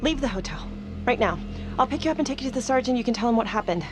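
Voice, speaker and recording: An actress playing a brisk, protective female ally in a video game thriller, urgent, directive and reassuring. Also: fairly high pitch, expressive, music in the background, natural voice. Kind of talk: cinematic video game acting.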